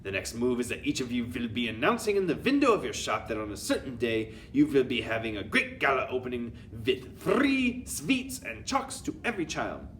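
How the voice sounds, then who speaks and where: A man's voice: mid-range voice, big pitch swings, normal speed, few pauses, fairly bright tone, recorded from a distance, a little hiss or hum, pitched above the performer's own voice. A man doing a thundering tyrannical witch queen. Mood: commanding. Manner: thundering, menacing, odd foreign phrasing. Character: tyrannical witch queen, shrieking, foreign-accented.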